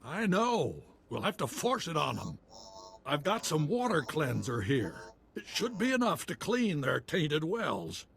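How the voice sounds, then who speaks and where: An actor playing a wry, folksy militia commander on a frontier world in a video game. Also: male voice, on the high side, huge pitch swings, unhurried, vocal fry, fairly bright tone, exaggerated voice. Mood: grim, resolved. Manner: folksy, clipped colloquial speech.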